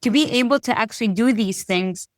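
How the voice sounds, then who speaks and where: A woman's voice: on the high side, a little fast, no echo, studio quality. A woman hosting a podcast, enthusiastic and upbeat, laughing easily. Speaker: podcast host. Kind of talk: casual interview hosting.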